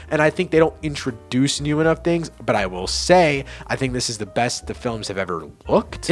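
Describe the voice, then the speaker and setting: Higher-pitched man, casual video reviewer. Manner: informal slang, blunt opinions. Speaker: video host and amateur film reviewer. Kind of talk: casual opinionated ranking commentary.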